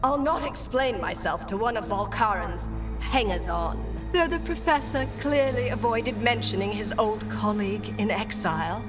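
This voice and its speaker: A woman plays a power-hungry sorceress villain from a video game. She sneers with grand, lofty contempt, her pitch rising and falling dramatically. Loud music plays behind her. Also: animated, normal speed, close to an everyday voice. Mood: contemptuous, gloating. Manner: sneering, theatrical, lofty formal diction. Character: haughty, scornful, power-hungry female necromancer villain.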